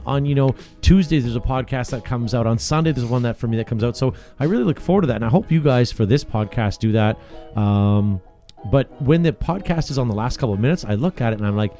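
A man's voice: medium pitch, mellow. A man hosting a podcast in casual chat, talking loosely with easy laughs and trailing sentences. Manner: chatty, laughs easily, trails off. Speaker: podcast host. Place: small podcast studio.

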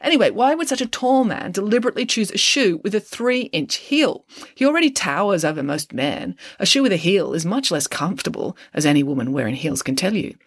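A narrator voicing a sharp, precise young girl detective who lays out her deductions calmly, in an audiobook reading. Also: mid-range voice, a little fast, dry recording, studio quality, pitched above the performer's own voice. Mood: explaining. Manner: calm, matter-of-fact deductions.

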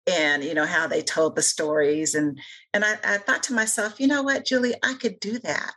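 Middle-aged woman, guest author. Low, husky, bright tone, earnest and rambling. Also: fairly even intonation, moderate pace. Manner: earnest, rambling, many fillers. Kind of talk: casual interview conversation.

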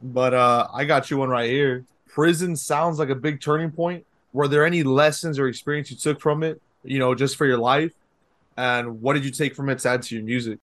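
A man with a mid-pitched voice, asking questions in a plain, laid-back way. He sounds like an ordinary conversation partner on a casual show. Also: fairly even intonation, brisk, fairly bright tone. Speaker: co-host. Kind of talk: casual podcast interview. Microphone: conference call line.

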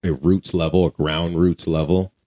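Guy with a really deep voice chatting on a faith podcast, earnest, encouraging. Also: little pitch movement, normal speed, darkish tone. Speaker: podcast co-host. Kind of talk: casual faith talk with exhortation.

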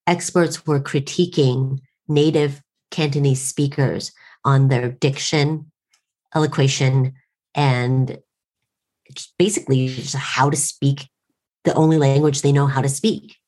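Low-voiced wry American woman. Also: a little mellow. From United States. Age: late 30s. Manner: wry, storytelling, self-deprecating. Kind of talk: casual conversation.

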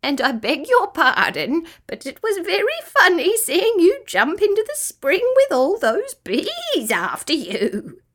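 A woman's voice: extremely high pitch, wildly swooping pitch, takes it slowly, hardly any pauses, a bit bright, pitched above the performer's own voice, heavily acted. A woman voicing a teasing, know-it-all male kookaburra who chortles through his words in a children's storybook. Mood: laughing, amused.

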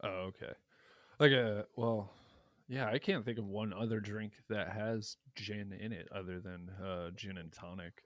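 A man's voice: fairly low pitch, steady tone, measured pace, no room sound. Man, podcast co-host, loose jokey banter, dead-dry sound. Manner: jokey, rambling, drops to mumbles. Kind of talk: casual chat.

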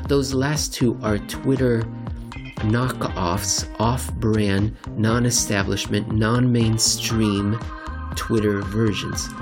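A man's voice: lowish voice, vocal fry. A man hosting a podcast, reading in a wry, dramatic way that mixes theatrical comic narration with casual commentary. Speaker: podcast host and reader.

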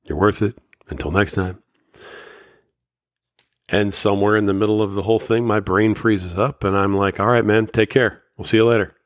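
A man's voice: fairly low pitch, fairly even intonation, moderate pace, long pauses, no room sound, studio quality. A man hosting a solo podcast, talking candidly and motivationally, his voice slightly scratchy from a summer cold. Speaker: podcast host.

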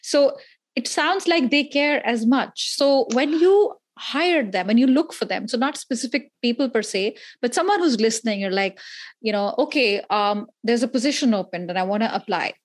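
A woman's voice: on the high side, animated, normal speed. A woman from India hosting a casual interview podcast in an effusive, informal, rambling way.

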